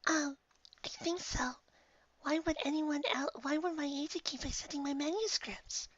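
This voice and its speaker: A performer voicing a shy, naive, gentle female pegasus pony in a soft, hesitant, breathy voice for a fan story reading. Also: high voice, normal speed, dry recording, pitched above the performer's own voice. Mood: shy, puzzled. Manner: timid, hesitant, mild. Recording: slightly dull home recording.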